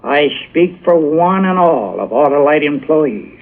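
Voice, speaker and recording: A company president gives slow, stiff, formally read thanks. His voice sits a little high, and it comes through a tinny, narrow old radio signal. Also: sing-song. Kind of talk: formal prepared remarks.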